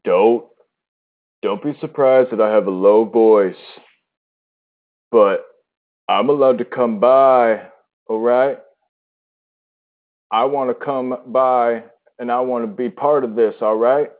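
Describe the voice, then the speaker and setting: Man doing a pushy low-voiced gas man. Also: fairly even intonation, takes it slowly, pauses often, close to an everyday voice. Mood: insistent. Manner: insistent, bossy. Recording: tinny voice-chat line.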